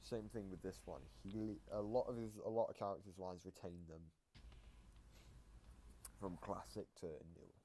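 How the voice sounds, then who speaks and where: A man's voice: low-pitched, fairly even intonation, normal speed, long pauses, background noise. A man hosting casual reaction commentary, explaining things in an offhand way. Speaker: host explaining voice lines.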